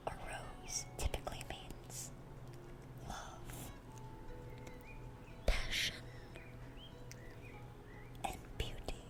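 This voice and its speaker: A woman playing a dorky, smitten young demon girl in a gentle, rambling, affectionate ASMR roleplay. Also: lightly acted. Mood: tender, affectionate.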